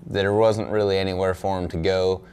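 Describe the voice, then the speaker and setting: A young man, a pro angler, answering questions at a press conference in a relaxed, thoughtful way, full of "you know" and "um". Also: deep voice, a little fast, clean tone. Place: indoor press room in front of a backdrop. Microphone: desk microphone on a stand.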